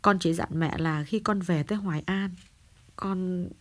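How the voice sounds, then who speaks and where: A man's voice: high-pitched, a little slow, pitched above the performer's own voice. A man voicing a remorseful injured young woman. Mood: weary. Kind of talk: audiobook character voice.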